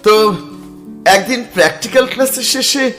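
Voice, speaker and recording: A man's voice: extremely high pitch, normal speed, a bit bright, soft background music. An actor playing a middle-aged painter who muses aloud, mixes in English phrases and narrates his own story. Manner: musing, self-talking, mixes English phrases. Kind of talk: radio drama acting and first-person narration.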